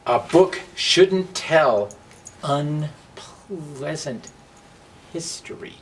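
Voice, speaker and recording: A man voicing a prim, scolding matchstick in a storybook, disapproving, with drawn-out emphasis. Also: on the high side. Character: prim, scolding male match, a book-banning busybody. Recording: distant mic with faint background noise.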